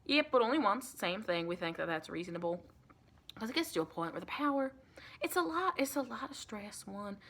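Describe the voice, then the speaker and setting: An American woman playing a sweet, reassuring vampire in a chatty, rambling ASMR roleplay, with a creaky voice. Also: medium pitch, very animated, fairly quick, fairly bright tone. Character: sweet, reassuring female vampire welcoming a new recruit.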